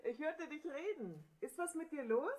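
A woman's voice: sits high, lively intonation, moderate pace, a little mellow. A woman voicing a boy's casual sister in a German children's audio drama. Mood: teasing, sleepy.

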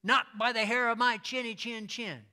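A man voicing the little pigs in a storybook reading, shouting high, defiant refusals. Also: steady tone, moderate pace, exaggerated voice.

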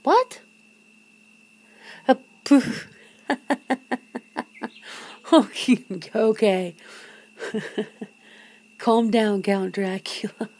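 High-voiced, slow-talking disbelieving girlfriend, acted by a woman. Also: darkish tone, full character voice. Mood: amused disbelief. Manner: halting, breathy sighs and chuckles, often dropping to a whisper. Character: young woman, girlfriend of a vampire, nervous, disbelieving, teasing. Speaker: ASMR roleplay performer. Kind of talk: ASMR roleplay, one side of an intimate conversation.

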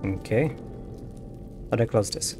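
Casual male game streamer, slow and offhand, talking to himself over clear background music. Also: fairly low pitch. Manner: muttering brief reactions to himself. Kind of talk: casual live gameplay commentary.

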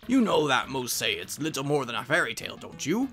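A man voicing a scholarly, fussy, excitable old professor in a game, speaking in formal, old-fashioned phrases. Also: mid-range voice, a little fast, gravelly, soft background music. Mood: scholarly, earnest.

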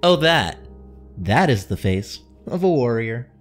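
A cocky, lovesick teenage boy superhero acted by a man in a cartoon-style comic dub. He talks slowly and playfully, with wry hesitations and an animated, moving pitch. Faint music runs underneath.

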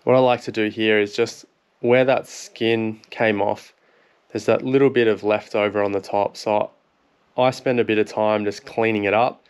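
A man in his thirties with an Australian accent, a fishing vlogger calmly explaining how to prepare fish in a voice-over. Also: on the low side, normal speed, clear, resonant tone. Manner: relaxed, plain explaining. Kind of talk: instructional cooking voice-over.

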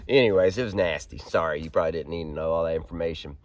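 A man in his thirties with a hoarse, froggy voice from a head cold, chatting casually as he fishes from a kayak on a creek, filmed by a pole-mounted camera. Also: sits low, fast. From Arkansas. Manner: chatty and upbeat, excited while reeling in fish. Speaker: fishing vlogger. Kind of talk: casual outdoor vlog. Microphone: action camera on a pole mount.

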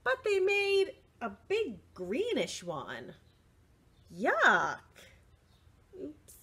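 Very animated, high-voiced woman reading to children. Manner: expressive, slow and deliberate, with short asides to the listeners. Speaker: children's library storyteller. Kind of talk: picture-book read-aloud for young children. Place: indoors, small room with bookshelves.

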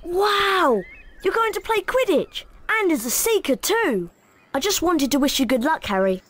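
A woman voicing an excitable, friendly young schoolboy wizard in a video game, eager and exclamatory. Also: very high voice, unhurried, full character voice. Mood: excited admiration.

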